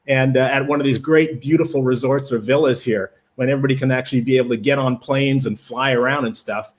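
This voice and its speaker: A man's voice: mid-range voice, fairly even intonation, fast. A man with a Canadian accent, born in Jamaica, hosting a live webinar, talking with enthusiasm and many fillers while greeting viewers from the chat. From Jamaica, raised in Canada. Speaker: livestream presenter.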